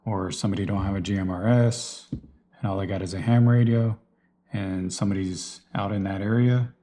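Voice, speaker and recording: A man's voice: low voice, fairly even intonation, normal speed, dark tone, slight background noise. A man giving a casual, unscripted walkthrough of his gear in a plain, explanatory way, like a vlogger. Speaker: vlogger presenting personal radio gear.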